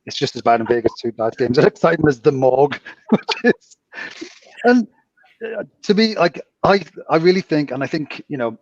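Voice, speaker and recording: A Welsh man in his forties, hosting a casual podcast chat and telling stories in an animated, exclaiming way. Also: a little high, moderate pace. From Wales. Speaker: podcast host, casino dealer. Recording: dull, dry lo-fi sound.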